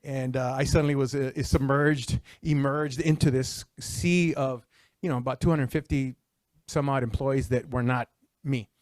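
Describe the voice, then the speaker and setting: A man's voice: mid-range voice, fairly even intonation, normal speed, no echo. Man telling his career story on a panel. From El Paso, Texas. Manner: conversational, many restarts and fillers. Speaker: panelist, retired Secret Service official. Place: auditorium stage before an audience. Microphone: handheld microphone.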